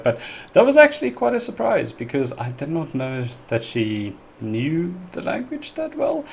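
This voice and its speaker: A man's voice: mid-range voice, vocal fry. Chatty South African man hosting. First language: Afrikaans. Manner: chatty and relaxed, with frequent ums. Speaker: reaction video host. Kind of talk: casual reaction commentary.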